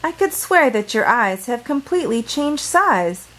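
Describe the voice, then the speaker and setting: A woman's voice: fairly high pitch, very animated, a little slow, a bit bright. A woman voicing a suspicious, astonished young girl in a storybook, questioning and full of wonder.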